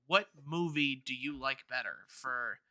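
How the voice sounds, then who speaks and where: A man co-hosting a podcast in casual chat, haltingly repeating words as he thinks aloud. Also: medium pitch, pushed and bright, dry recording, clean studio sound. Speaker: podcast co-host.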